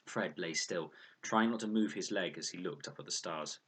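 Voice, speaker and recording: A man reading a children's novel aloud as an expressive storyteller. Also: sits low, moderate pace, husky. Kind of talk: expressive children's audiobook narration.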